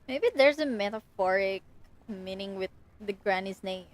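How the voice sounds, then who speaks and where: A woman's voice: mid-range voice, a little slow. A woman livestreaming a game, chatting casually and playfully as she reacts aloud. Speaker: live streamer. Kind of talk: casual gaming livestream chat.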